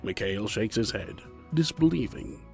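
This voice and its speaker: A man narrating a scripted audio fiction story in a formal, measured manner. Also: fairly low pitch, lively intonation, creaky, darkish tone, soft background music.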